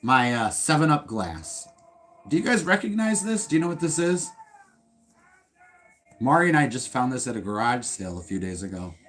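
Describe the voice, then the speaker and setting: A man's voice: medium pitch, moderate pace, long pauses. A man co-hosting a live stream, chatting casually and joking with restarts. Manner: lively, joking, with stumbles. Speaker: co-host of a retro livestream.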